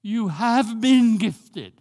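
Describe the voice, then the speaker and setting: Older Jamaican man preaching, high voice. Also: expressive, darkish tone, big-room sound. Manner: earnest, emphatic, slows down to stress key phrases. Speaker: pastor. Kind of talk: sermon.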